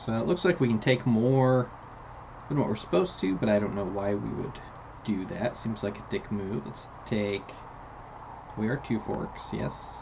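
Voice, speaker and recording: A man's voice: fairly low pitch, measured pace. A laid-back man giving matter-of-fact let's play commentary as the host of a gaming video. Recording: dull, lo-fi mic with clear noise.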